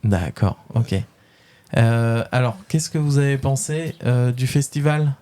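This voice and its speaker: A man's voice: mid-range voice, a little slow, warm tone. A man with a French accent chats through a casual webradio interview, stopping often to hunt for words. His voice sits in an ordinary middle register. He keeps his questions friendly and easygoing. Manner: hesitant, many fillers, friendly questions.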